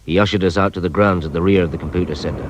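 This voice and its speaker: An actor in a radio drama playing a weary police inspector who narrates his case in a measured, reflective way. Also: low voice, steady tone, close to an everyday voice. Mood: reflective, sombre. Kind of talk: scripted first-person radio drama narration. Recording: noisy radio drama recording, effects behind.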